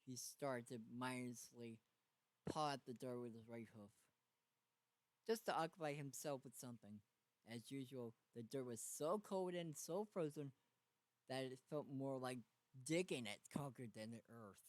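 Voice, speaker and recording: A man with a medium-pitched voice narrates a story in a dramatic style. His delivery is halting, with small stumbles over the text. The sound is dry, with very little room in it. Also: no room sound, poor audio. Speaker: fan fiction reader.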